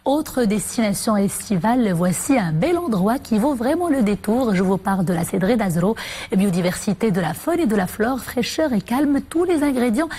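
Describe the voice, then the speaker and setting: A woman news anchor reading the evening bulletin and weather in a measured, formal way. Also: fairly high pitch, lively intonation, dark tone, breathy edge.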